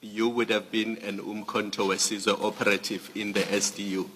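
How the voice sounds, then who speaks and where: A man questioning a witness at a formal inquiry. He speaks in a measured, pointed and formal way. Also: lowish voice, level tone, in a large hall, background noise. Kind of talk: formal cross-examination. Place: large hearing room.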